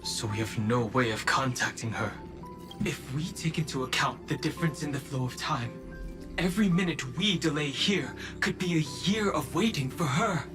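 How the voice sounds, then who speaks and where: A man voices a solemn young traveler in a game scene. He speaks with measured, earnest restraint and steely resolve at a middling pitch, with clear music underneath. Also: close to an everyday voice. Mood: grave, pensive. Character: grave, determined young male space traveler. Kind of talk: video game voice acting.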